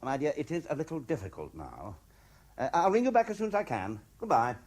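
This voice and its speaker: A man's voice: on the high side, sing-song, brisk, darkish tone. A man playing a self-important, anxious businessman husband in a radio play, with a pompous manner. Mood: flustered, irritable. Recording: 1970s radio drama recording.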